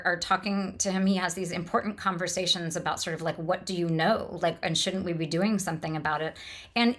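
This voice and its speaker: A woman's voice: mid-range voice, a bit bright. A woman lecturing on literature off the cuff, in an enthusiastic, discursive way that explains as it goes. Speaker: lecturer and host of a literature podcast.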